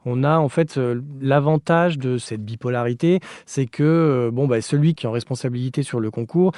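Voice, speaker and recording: Mellow-voiced man in a dry recording. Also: mid-range voice, normal speed, no room sound. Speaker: architect, interview guest. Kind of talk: conversational interview answers.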